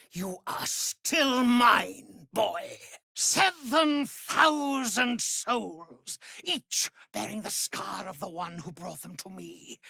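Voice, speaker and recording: Cruel vampire master for a video game: imperious, wildly swooping pitch, very slow and savoring. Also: male voice, vocal fry, pushed and bright, no echo, exaggerated voice. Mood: commanding, scornful. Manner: pompous, imperious, sneering. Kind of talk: theatrical video game villain acting.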